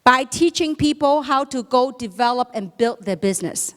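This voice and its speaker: A woman's voice: high-pitched, lively intonation, normal speed, fairly bright tone. A woman with a Chinese accent gives a motivational business seminar talk, emphatically posing questions and answering them herself. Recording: echoey hall, long reverb.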